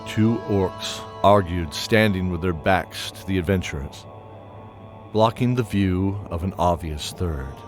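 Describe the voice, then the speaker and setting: A man narrating a fantasy audio drama from a script in a measured storytelling manner. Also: deep voice. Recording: music playing behind.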